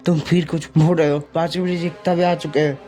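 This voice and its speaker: A man's voice: on the high side, level tone, fast talker, warm tone, faint music behind, close to an everyday voice. A man voicing an earnest, loyal young ninja hero who appeals to his rival, in an amateur anime fan dub. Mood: pleading.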